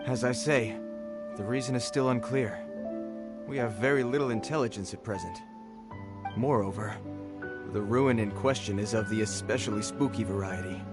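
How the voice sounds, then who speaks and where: An actor playing a dutiful city guard soldier who speaks formally and earnestly, with game music behind him. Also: male voice, lowish voice, close to an everyday voice. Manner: formal, measured and proper. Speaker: game character.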